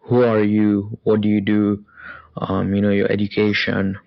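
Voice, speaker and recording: A man's voice: on the low side, completely flat delivery, unhurried, creaky, dry recording. A young man hosting a career podcast interview, talking with hesitant fillers. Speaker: podcast host. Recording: dull lo-fi sound.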